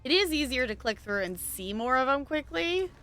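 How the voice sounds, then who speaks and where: A woman's voice: high-pitched, big pitch swings, normal speed, pushed and bright, faint music behind. A woman streaming a game, talking casually and rambling with lots of fillers and small laughs. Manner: rambling, chatty, frequent "like" and laughs. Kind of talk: casual gaming stream chat.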